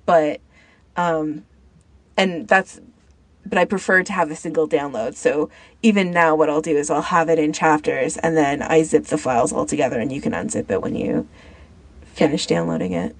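A woman's voice: low voice, moderate pace, no room sound. A woman co-hosting a podcast in casual chat, rambling informally as she thinks aloud. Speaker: podcast co-host.

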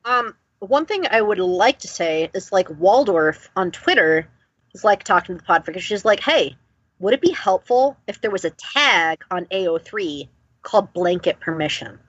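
A woman with a husky, medium-pitched voice co-hosts a casual podcast chat. Her track is very dry and slightly dull and lo-fi. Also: animated, moderate pace, dry recording. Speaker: podcast co-host.

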